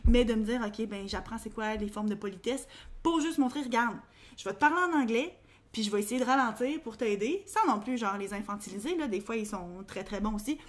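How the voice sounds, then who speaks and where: A young woman with a Québécois accent, co-hosting a casual podcast chat, talking quickly with lots of fillers. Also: a little high. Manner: chatty, quick, colloquial, many fillers. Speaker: podcast co-host.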